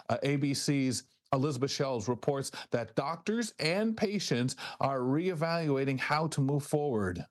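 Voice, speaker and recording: A man with a mid-range voice hosts a news discussion. He moves between reading items and chatting, in a halting manner, reworking his phrases along the way. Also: mid-range voice. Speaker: radio host. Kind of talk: conversational news hosting.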